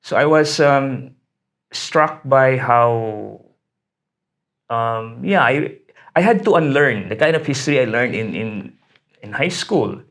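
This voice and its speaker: A man's voice: medium pitch, animated, slow pace, pauses often, fairly bright tone. A middle-aged man from the Philippines, an academic being interviewed, talking in a hesitant way with many fillers and restarts. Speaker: interview guest, history academic. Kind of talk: conversational interview.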